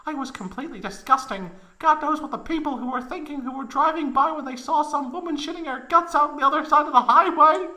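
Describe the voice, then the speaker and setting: A woman's voice: high-pitched, quick pace, hardly any pauses, bright, forceful tone, rough recording. A woman playing a proud, melodramatic young woman recounting an embarrassing tale in a comedic fan fiction reading, overwrought and close to giggling. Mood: anguished, overwrought.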